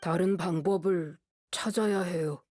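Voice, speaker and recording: A woman playing an earnest, reserved wolf-raised boy in an anime game, speaking in terse, simple, halting phrases. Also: fairly low pitch, a little mellow, faint music behind, natural voice. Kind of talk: Korean anime game voice acting.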